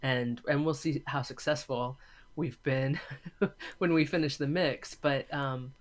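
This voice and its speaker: A man speaks as an interview guest in a mid-pitched voice. He thinks aloud, building his explanation in short, halting phrases with a reflective, conversational manner. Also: steady tone. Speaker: interview guest, audio drama maker and filmmaking teacher.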